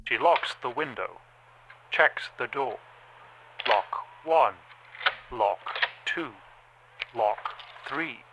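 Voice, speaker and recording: Slow, detached watchful machine. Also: male voice, medium pitch. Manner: deliberate, halting, monotone reporting. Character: male security camera, cold detached slow-thinking machine observer. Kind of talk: audio drama monologue. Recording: clearly noisy background.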